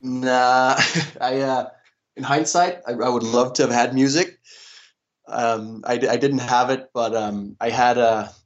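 A young man from Denmark answering interview questions in a relaxed way, with many fillers and restarts. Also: medium pitch, measured pace, muffled recording. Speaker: interview guest, paddle athlete.

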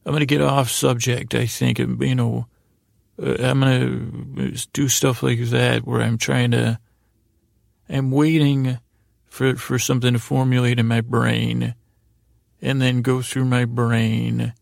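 A man hosting a bedtime podcast in a lulling, soothing, creaky voice, meandering and trailing off mid-thought. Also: mid-range voice, little pitch movement, measured pace, a little mellow, no echo, clean studio sound. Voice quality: very creaky, gravelly. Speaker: podcast host. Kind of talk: rambling bedtime-story podcast monologue.

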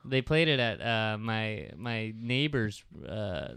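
A man riffing sarcastically on a casual comedy podcast, swearing freely as he rambles. His voice moves a lot in pitch. The recording is dry and close. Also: very animated, slow pace, vocal fry, fairly bright tone, smooth, dry recording. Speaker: podcast co-host.

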